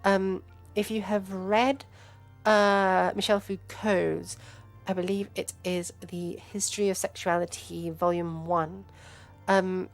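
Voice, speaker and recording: A woman giving informal, rambling academic commentary as a livestream host, halting and digressing as she thinks aloud. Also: medium pitch, level tone. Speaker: host and scholar giving a live reading and commentary. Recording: music playing behind the voice. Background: background music.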